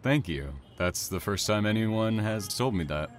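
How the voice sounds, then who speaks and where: Actor as low-voiced, calm, courteous man. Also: measured pace. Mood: polite, reserved. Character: calm, courteous, quietly intense young male admirer. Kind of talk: naturalistic audio drama acting.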